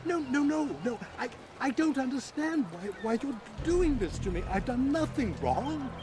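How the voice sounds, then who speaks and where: A man's voice: very high-pitched, very animated, moderate pace. An actor playing a frightened priest who pleads his innocence. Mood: pleading. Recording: game audio with music.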